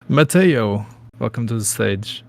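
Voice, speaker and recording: A man's voice: on the low side, animated, slow, vocal fry, no room sound. A man hosting a casual fan Q&A, joking and inviting speakers on. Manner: jokey, laughs often. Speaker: host moderating the Q&A.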